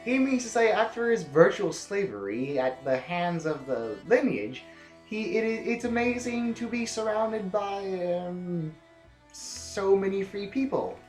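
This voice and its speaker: A woman playing a tactful military advisor in an audio drama, explaining in a halting, apologetic way. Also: medium pitch, big pitch swings, darkish tone. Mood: awkward, explanatory. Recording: echoey room with music.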